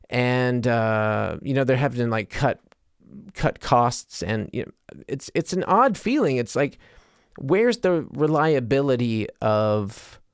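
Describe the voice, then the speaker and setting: American male podcast host, mid-pitched, smooth clean voice, loose self-interrupting delivery, dry close sound. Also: dry recording. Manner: self-interrupting, trails into tangents. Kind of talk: casual, rambling solo podcast talk.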